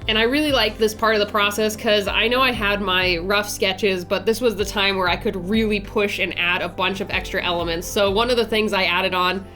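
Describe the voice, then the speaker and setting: A woman's voice: mid-range voice, fairly even intonation, a little fast, few pauses, sharp tone, soft background music. Stuffy-nosed chatty young woman. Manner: chatty, upbeat, many fillers and restarts. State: nasal from a head cold. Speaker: art channel host. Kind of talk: casual art vlog narration.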